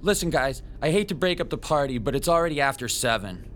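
A man's voice: mid-range voice, expressive, quick pace, fairly bright tone, a little hiss or hum, close to an everyday voice. A man playing a timid, geeky comic shop owner with a nervous, hesitant manner in a radio drama. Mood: earnest.

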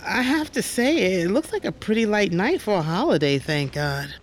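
A woman's voice: medium pitch, very animated, normal speed, a little hiss or hum, close to an everyday voice, rough recording. An actress playing a blunt, sassy woman who works at a hospital, in casual audio drama dialogue. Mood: wry. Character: blunt, sassy female hospital colleague, crude-humoured.

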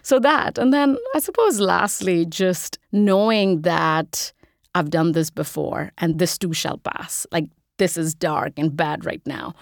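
A woman in her forties from India with an Indian accent, a guest in an interview talking in quick, animated bursts. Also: on the low side, creaky, no echo, clean studio sound. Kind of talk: casual interview conversation.

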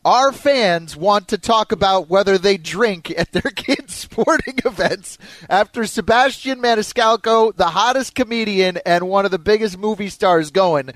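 A man's voice: high voice, measured pace, a bit bright, dry recording. A man hosting a sports call-in radio show, chatting and taking listeners' calls.

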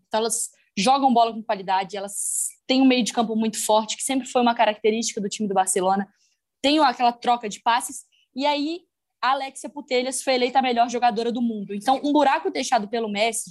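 A woman from Brazil, a guest on a podcast, telling a story in casual chat with many fillers. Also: a little high.

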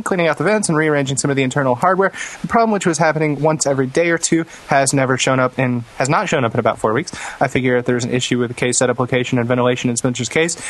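A man co-hosting a casual podcast, with a joking, irreverent way of talking. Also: on the high side. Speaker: podcast co-host. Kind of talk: casual banter and email reading.

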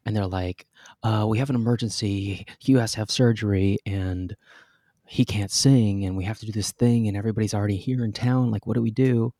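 Gravelly low-voiced man telling a story. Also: steady tone, fairly quick, warm tone, dry recording, clean studio sound. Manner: chatty, self-deprecating, laughs often. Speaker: guest, stage performer. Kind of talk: casual interview storytelling.

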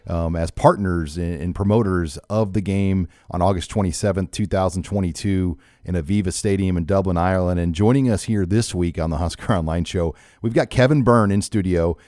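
A man's voice: low-pitched, brisk, hardly any pauses, warm tone, no echo, clean studio sound. A middle-aged man hosting a sports talk show, asking questions in a chatty, enthusiastic way. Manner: enthusiastic, chatty, some stumbles. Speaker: radio show host.

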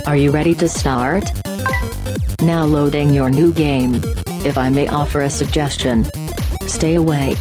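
A woman voicing a calm, detached video game menu system in measured, clipped announcements. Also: sits low. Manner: measured announcer-like delivery, clipped short phrases. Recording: electronic music behind the voice.

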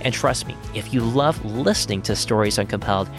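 A man's voice: on the low side, brisk. A man reading scripted sponsor spots as a podcast host. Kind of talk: scripted ad narration. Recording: music under the voice. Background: guitar music.

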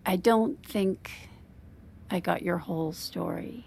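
Wife, gently exasperated, slow n hesitant, bit of vocal fry, audio drama. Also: female voice, lowish voice, a little hiss or hum. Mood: hesitant, probing. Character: restrained, uneasy wife, gently exasperated with her husband. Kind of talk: naturalistic audio drama acting.

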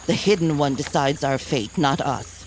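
Actress as a grave old bear grandmother, deep-toned. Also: a little mellow, a little hiss or hum, natural voice. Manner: solemn, measured, oracular. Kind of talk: audio drama acting.

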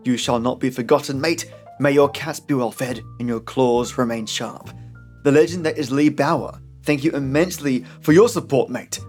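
A male podcast host with a slightly high voice delivers a scripted introduction. His manner is theatrical and playful, over light background music. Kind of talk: scripted dramatic introduction and listener thanks.

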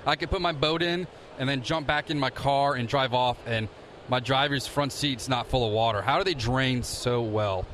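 A man's voice: medium pitch, moderate pace, a bit bright, a little hiss or hum. A man hosting casual interviews for his podcast, asking friendly, chatty questions.

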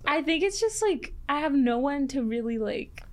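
A woman from Mexico hosting a casual podcast, her voice sitting a little high for a woman. She talks in loose, filler-packed sentences and laughs easily between them. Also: expressive, moderate pace. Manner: laughs often, filler-heavy. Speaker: podcast host. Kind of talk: casual chat.

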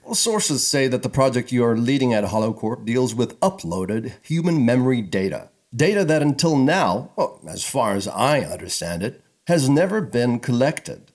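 A man's voice: mid-range voice, very animated, normal speed, hardly any pauses, a little mellow. A man playing a polished, pressing talk show host of the far future in a sci-fi audio drama. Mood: pressing, insistent.

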